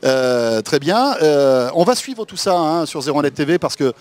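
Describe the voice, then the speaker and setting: A man's voice: fairly high pitch, expressive, normal speed. A middle-aged man hosting a live tech show, teasing and joking with his guests, on a handheld mic outdoors. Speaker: host.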